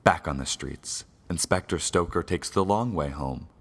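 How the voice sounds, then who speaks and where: Actor as a deep, ominous radio-serial narrator. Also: male voice, normal speed, husky. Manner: ominous, grandiose. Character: solemn, mysterious male radio serial narrator. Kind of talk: dramatic 1940s radio narration.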